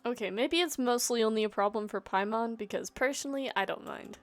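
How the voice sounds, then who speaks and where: A woman's voice: fairly high pitch, fairly quick. A young adult woman streamer giving casual live reactions, talking back to game characters in a slangy, joking way.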